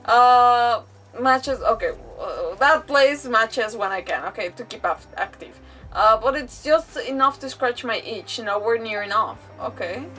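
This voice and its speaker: A woman's voice: fairly high pitch, animated, unhurried, pushed and bright, far from the mic, rough recording. A woman game streamer giving casual live commentary, exclamatory and often stumbling as she reads aloud. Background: game music.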